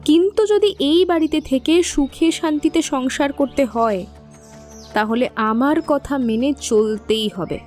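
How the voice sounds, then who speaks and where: An actress playing a defiant, scheming sister-in-law in an audio drama, taunting and threatening. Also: female voice, high voice, unhurried, a little mellow, soft background music. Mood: cold defiance. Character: defiant, cold, scheming married sister-in-law standing up for herself. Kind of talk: audio story drama acting.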